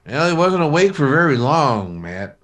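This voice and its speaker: An actor playing a dry frontier town doctor who mutters hesitantly, in a Western radio drama. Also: male voice, fairly high pitch, sing-song, normal speed, a bit bright. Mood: dry, grim. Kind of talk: old-time Western radio acting.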